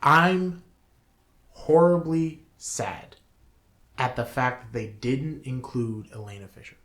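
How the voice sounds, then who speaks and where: Man, slow halting delivery, opinionated, emphatic, casual review talk, roomy echo. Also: medium pitch, expressive, a bit bright. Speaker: show co-host. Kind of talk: casual movie review discussion, partly reading from notes.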